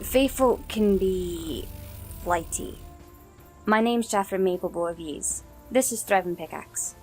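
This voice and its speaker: Woman doing a young forest ranger lady, gracious n calm but firm, fantasy audio story, soft music under. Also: mid-range voice, slow pace, natural voice. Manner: composed, gracious, gently chiding. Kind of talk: fantasy audio drama acting.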